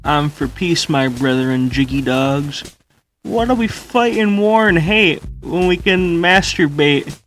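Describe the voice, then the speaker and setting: A man's voice: on the high side, lively intonation, slow pace, a bit bright, faint music behind, poor audio. A man voicing a goofy, boastful stoner echidna in an amateur comic dub, rambling in slang. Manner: rambling, slangy, says lol aloud.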